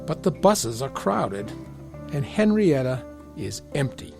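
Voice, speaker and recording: Male narrator, storybook read, animated intonation, clear musical backing. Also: mid-range voice, slow pace, music in the background.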